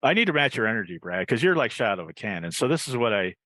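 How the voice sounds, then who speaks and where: A man's voice: fairly low pitch, sing-song, very fast, pushed and bright, no echo. A man hosting a casual podcast on a video call, rambling a little and repeating his phrases as he chats and reads plugs. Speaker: podcast host.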